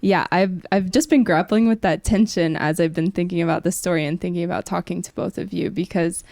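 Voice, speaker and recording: A woman's voice: lowish voice, fairly even intonation, brisk, creaky, darkish tone, no echo, clean studio sound. A young woman speaking as a podcast guest in a casual book discussion, in a reflective, tentative way.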